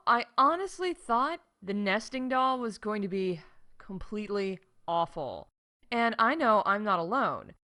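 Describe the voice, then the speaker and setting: A woman's voice: fairly high pitch, fairly bright tone. A woman playing a chatty, wry theme park staff announcer in a scripted comedy podcast, emphatic and full of conversational asides. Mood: gushing.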